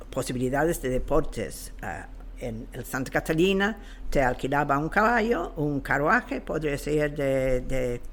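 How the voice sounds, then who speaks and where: A woman speaks slowly in speech, pausing to search for her words. She sounds like a knowledgeable guest explaining local history off the cuff, in a halting, unscripted way. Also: low voice, gravelly, fairly bright tone.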